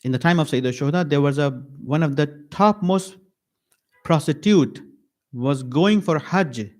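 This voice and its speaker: Guy giving a sermon at an islamic center, slow and deliberate, keeps repeating lines for weight. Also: on the high side, animated, a little mellow. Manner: slow, emphatic, repeats key phrases with pauses. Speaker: sheikh giving a mourning sermon.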